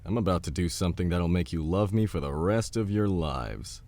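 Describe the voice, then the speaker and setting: A man playing a gruff, bossy, battle-scarred survivalist in an audio drama, blunt, teasing and swearing. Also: low-pitched, normal speed, darkish tone, dry recording. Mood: bossy, confident.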